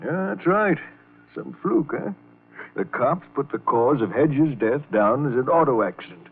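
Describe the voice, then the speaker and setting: A man's voice: mid-range voice, expressive, unhurried, breathy edge. An actor playing a folksy, sly, paternal older man in an old-time radio crime drama, slangy, wry and confiding. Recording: narrow vintage radio recording.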